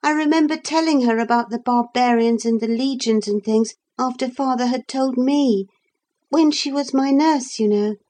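A woman voicing an earnest, excited young boy hero in a storybook reading. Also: fairly high pitch, moderate pace, pitched above the performer's own voice, close to an everyday voice. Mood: confiding, sheepish. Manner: urgent, excited.

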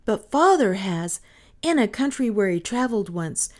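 A woman's voice: medium pitch, very animated, normal speed, pitched above the performer's own voice, lightly acted. A woman voicing a careful, serious young boy, a protective older brother in a storybook. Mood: earnest. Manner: earnest, serious.

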